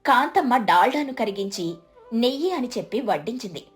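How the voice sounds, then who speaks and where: A woman's voice: on the high side, expressive, brisk. A woman narrating a scripted moral story as its storyteller.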